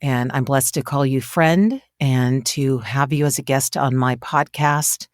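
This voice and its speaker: A woman with a low voice hosts a chatty podcast interview. She speaks effusively and is generous with compliments. The sound is dry, with very little room in it. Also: measured pace, no room sound. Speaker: podcast host.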